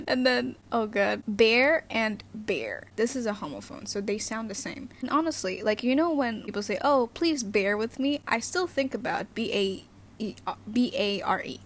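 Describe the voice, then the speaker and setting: Young female host, Indonesian native speaker, giggly casual chat, slightly high voice. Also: animated, measured pace, a little hiss or hum. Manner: chatty, giggly, many fillers and restarts. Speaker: channel host.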